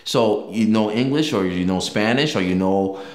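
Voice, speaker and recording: A man's voice: lowish voice, moderate pace, darkish tone, echoey room. Conversational man, a native Yoruba speaker. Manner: conversational, explains with examples, frequent restarts. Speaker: language learning video host. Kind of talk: casual talk to camera.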